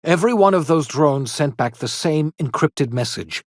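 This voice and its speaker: An actor playing an eccentric elderly scientist and rebel in a video game, earnest and theatrical, with formal, old-fashioned, emphatic phrasing. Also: male voice, a little high, expressive, normal speed, no room sound, clean studio sound. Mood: grave, worried. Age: older. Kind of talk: video game character dialogue.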